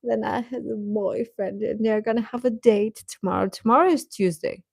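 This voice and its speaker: A woman's voice: fairly high pitch, fairly even intonation, moderate pace, creaky, breathy edge, no echo, clean studio sound. A woman chatting casually as a livestream host, talking directly to viewers and often repeating her phrases.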